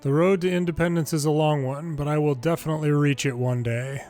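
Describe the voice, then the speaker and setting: Male game narrator, slightly high voice, reads story text aloud with casual delivery, faint music underneath. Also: fairly even intonation, fairly quick, darkish tone. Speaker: game longplay narrator reading dialogue aloud. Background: video game music.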